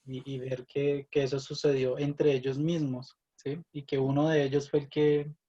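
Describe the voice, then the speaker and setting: Hesitant man with a mellow voice. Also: medium pitch, little pitch movement, moderate pace, dull audio. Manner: hesitant, many fillers. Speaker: Bible study participant. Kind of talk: casual Bible discussion.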